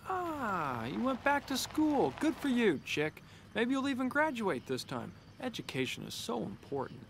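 A man playing a cocky, sarcastic young race car in an animated video game, breezy and smug. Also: extremely high pitch, normal speed, gravelly, slight background noise, full character voice. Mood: smug, teasing.

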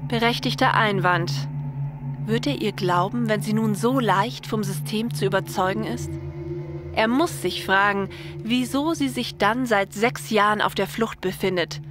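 Woman, story narrator, scripted reading, mid-range pitch, faint background music. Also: measured pace.

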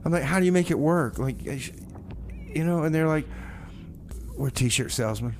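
A man's voice: on the high side, lively intonation, moderate pace, a little mellow, slight background noise. A man hosting a community radio music show, talking casually with stammers, restarts and laughter. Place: small radio studio.

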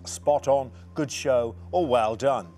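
A man's voice: medium pitch, mellow, a little hiss or hum. A middle-aged man hosting a studio quiz show, reading questions and chatting with contestants. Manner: brisk, reads questions clearly.

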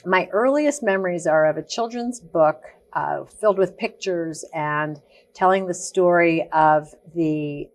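A woman around sixty, a guest in a studio interview, telling her life story in long, searching sentences with many pauses and ums. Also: fairly low pitch. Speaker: guest, activist. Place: TV studio set.

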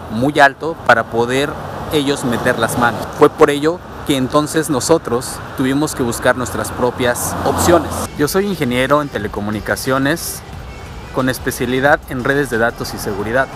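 A man talks to a handheld camera held at arm's length. He walks outdoors and explains things in a steady way. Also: medium pitch, background noise. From Mexico. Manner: steady, explanatory, talking while walking. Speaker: vlogger. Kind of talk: walking vlog explaining immigration. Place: outdoors on suburban streets and a transit station. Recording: music playing underneath. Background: music.

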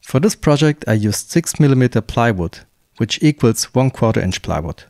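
A male workshop host calmly narrating the steps of a woodworking project in a scripted voice-over, with a German accent. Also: mid-range voice, moderate pace, no echo, studio quality. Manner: calm, plain step-by-step explaining.

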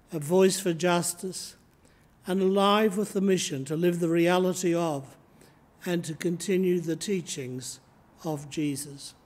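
Slow, measured older Australian priest. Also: male voice, sits high. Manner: slow, measured reading from notes. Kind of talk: sermon. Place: church sanctuary, indoors. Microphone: lectern microphone, camera in front.

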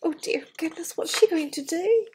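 A middle-aged woman with a breathy voice, reading a fairytale to children with gushing, chatty asides in a living room. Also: extremely high pitch, normal speed, darkish tone. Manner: gushing, wistful enthusiasm for the book. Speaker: children's storyteller. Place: living room with large glass doors. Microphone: device camera at arm's length.

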